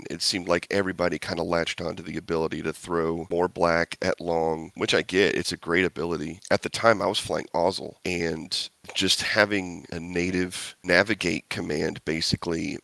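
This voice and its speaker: A man's voice: low-pitched, vocal fry, bright, forceful tone, no echo. A man chatting as a podcast guest about his tabletop game strategy, rambling and correcting himself with enthusiasm. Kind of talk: casual interview chat.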